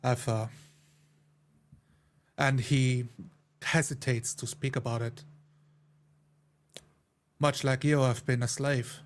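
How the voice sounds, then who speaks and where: A man's voice: mid-range voice, fairly even intonation, slow, long pauses. A man playing a tabletop roleplay game, speaking restrained, hesitant lines in character and narrating his actions. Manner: hesitant, restrained, broken phrases.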